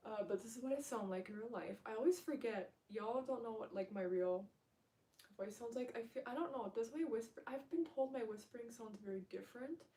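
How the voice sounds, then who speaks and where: Chatty Minnesotan woman, mellow voice. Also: on the high side, distant mic. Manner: rambling, chatty, fast talker. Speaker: ASMR livestreamer. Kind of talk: casual livestream chat.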